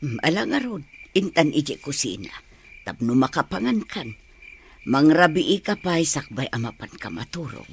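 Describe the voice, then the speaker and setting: Man voicing a fussing, worried elderly mother, lively swoops. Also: fairly high pitch, normal speed, voice pushed up. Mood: motherly. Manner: fussing, tender. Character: caring, worried elderly mother of a grown son. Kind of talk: Ilocano audio drama acting. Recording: noisy track with insect sounds.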